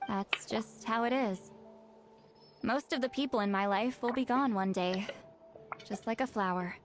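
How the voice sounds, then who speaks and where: A woman voicing a gruff, lonely sorceress who has lived for centuries, talking slowly with sighs, in a video game. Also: medium pitch, close to an everyday voice. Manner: slow, sighing, reflective. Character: ancient young-looking sorceress, gruff and lonely. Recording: game audio with faint background music.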